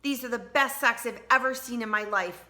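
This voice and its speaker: A woman's voice: a little high, normal speed, sharp tone, voice pushed up. A woman voicing an eager, stubborn little girl from a storybook, exclaiming emphatically. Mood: excited, stubborn.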